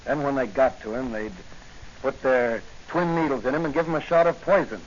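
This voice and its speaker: An actor as a commanding radio crime-fighting hero. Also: male voice, mid-range voice, a little fast, close to an everyday voice. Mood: calm, explaining. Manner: commanding, scornful, righteous. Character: bold, stern masked crime-fighting police hero, adult man. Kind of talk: 1940s radio acting. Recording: muffled, noisy 1940s radio recording.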